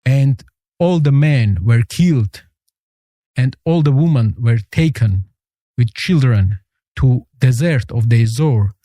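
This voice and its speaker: A man with an Armenian accent, a guest answering interview questions in earnest, halting English. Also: medium pitch, animated, warm tone, no echo, studio quality. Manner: earnest, halting, searching for words.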